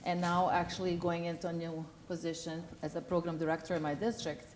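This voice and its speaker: A woman with a slightly low voice, speaking directly and with emphasis from a stage panel. Faint room noise sits beneath her. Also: level tone, fairly quick, a little mellow. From Bosnia. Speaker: panelist, teacher. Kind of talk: panel self-introduction. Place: stage in a museum hall.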